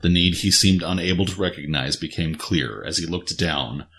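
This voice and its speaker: A man's voice: low-pitched, moderate pace, a bit bright, slight background noise. A man narrating a scripted dramatic story as the narrator of an audio drama.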